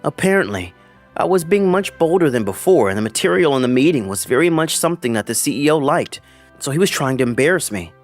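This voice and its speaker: Man voicing a young office hero, earnest, polite, lively swooping delivery, light music behind, manga dub. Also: on the high side, fast talker. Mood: calm narration. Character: earnest young businessman hero, polite, narrating his own story. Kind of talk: manga dub voice acting and narration.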